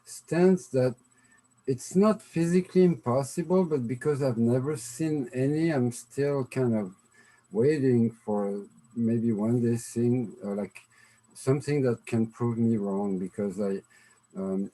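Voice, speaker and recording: A man's voice: medium pitch, measured pace, dark tone, poor audio. A young man in casual conversation, thinking aloud with hesitations and fillers. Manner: thoughtful, hesitant, musing. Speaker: co-host.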